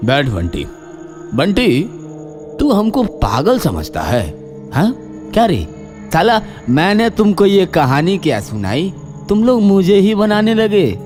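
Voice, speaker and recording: A man playing a bossy, mocking gang leader in an animated horror story. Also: high-pitched, slow, pauses often, soft background music, full character voice. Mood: bossy, irritated.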